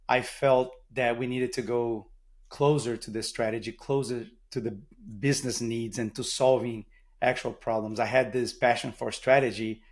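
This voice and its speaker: A middle-aged man from Brazil with a Brazilian Portuguese accent, a guest in an interview, telling his career story in a thoughtful way with frequent restarts. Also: medium pitch. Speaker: guest, marketing executive.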